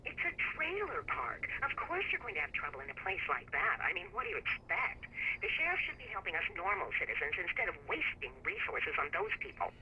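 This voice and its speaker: A woman's voice: medium pitch, wildly swooping pitch, a little fast, bright, forceful tone, lo-fi sound, heavily acted. A woman playing a prickly, self-righteous small-town caller on a radio phone-in in a video game, indignant and snobbish. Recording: noisy radio phone-in call.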